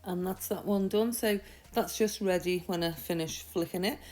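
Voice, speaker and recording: A woman with a slightly croaky voice from a mild sore throat, giving a chatty, reassuring, step-by-step tutorial. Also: on the low side, normal speed, a little mellow, faint music behind. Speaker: nail art tutorial host. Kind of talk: casual step-by-step tutorial voice-over.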